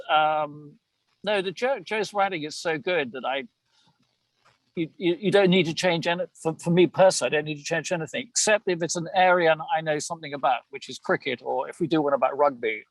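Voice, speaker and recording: Higher-pitched British man, halting. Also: dry recording, rough recording. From Britain. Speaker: voice actor being interviewed. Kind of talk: casual interview chat.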